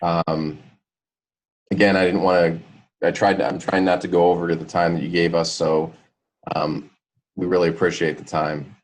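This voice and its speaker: A man with a deep voice presents a product on a conference call. His delivery is informal and halting, with hedges and reworked phrases. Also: little pitch movement.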